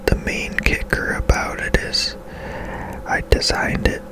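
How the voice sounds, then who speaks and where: A video game host speaks entirely in a soft, breathy whisper. The delivery is slow and gentle, with unhurried pauses, in the style of ASMR gameplay commentary. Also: rough recording.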